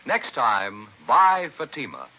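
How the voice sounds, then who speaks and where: A man's voice: medium pitch, huge pitch swings, slow pace, husky, exaggerated voice. A man performing as a grave, authoritative American announcer in the scripted style of 1950s radio. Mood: assured, selling. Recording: muffled vintage radio recording, faint music.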